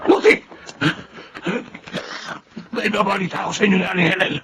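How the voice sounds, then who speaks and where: A man playing an agitated Filipino family friend, urgent and excitable, with a husky voice in a radio melodrama. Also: high-pitched, normal speed, over loud music. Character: agitated male family friend bearing grim news.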